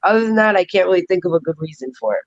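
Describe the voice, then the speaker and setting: A middle-aged woman with a raspy voice and a New York accent, chatting and joking on a live stream. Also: fairly low pitch, no room sound, muffled recording. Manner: chatty, animated, quick to joke. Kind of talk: casual live stream chat.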